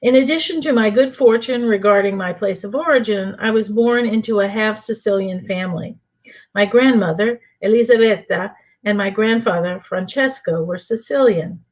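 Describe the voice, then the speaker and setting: An older woman from New Orleans reading aloud from her own book in a measured, deliberate way for an online reading. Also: medium pitch, poor audio. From New Orleans, USA. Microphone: home computer mic for an online reading.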